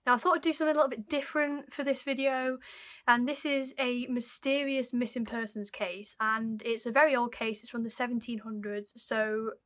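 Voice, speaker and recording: A young woman hosting her channel, telling an old mystery case in a chatty way while her voice is croaky and fading. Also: fairly high pitch, fairly even intonation, a little fast, fairly bright tone. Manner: chatty, apologetic, dwells on odd details. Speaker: YouTube host. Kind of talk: casual mystery storytelling to camera.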